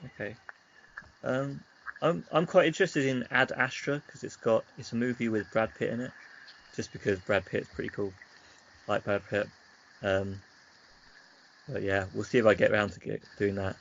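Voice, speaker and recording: A man's voice: fairly low pitch, steady tone, moderate pace, gravelly, long pauses. A man co-hosting a podcast, chatting casually about games in a hesitant, rambling way. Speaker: podcast co-host. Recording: dull lo-fi sound with faint noise.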